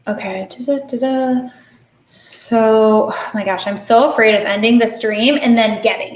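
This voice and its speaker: A slightly hoarse woman hosts a coding stream and thinks aloud as she works, with many fillers. She talks slowly in a fairly high voice. The room sounds echoey. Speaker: host.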